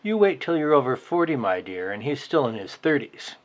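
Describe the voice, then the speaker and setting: A man's voice: mid-range voice, sing-song, moderate pace, lightly acted. A man playing a sarcastic, possessive boss in his forties in a dramatized novel reading, needling as he talks. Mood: mocking. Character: scornful, possessive man over forty, a boss.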